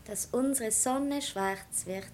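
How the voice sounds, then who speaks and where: A woman's voice: on the high side, slow, darkish tone, a little hiss or hum. A woman playing an eager, playful young child in a radio drama, telling things in a simple storytelling manner. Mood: curious, wondering. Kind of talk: German radio drama acting.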